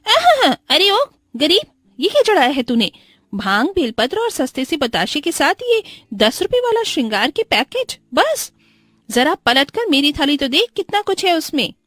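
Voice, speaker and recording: A woman acts out a spoiled young wife of a rich house in a cartoon tale. Her voice sits very high and close, with a sharp, haughty edge. She talks down to people, demanding and bossy. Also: big pitch swings, normal speed, dry recording. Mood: scornful. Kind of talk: cartoon moral story voice acting.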